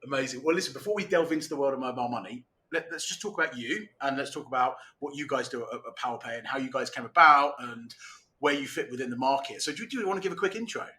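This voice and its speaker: A male podcast host speaks quickly in a bright, forward voice of medium pitch. He chats casually as he introduces and questions a guest. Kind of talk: casual interview chat.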